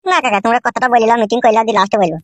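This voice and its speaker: A woman's voice: on the high side, fairly even intonation, rapid-fire, dry recording, close to an everyday voice. A woman voicing a cheeky, gossipy village sidekick in a comedy cartoon, talking in an insistent, slangy way. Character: cheeky, gossipy sidekick calling the boss uncle.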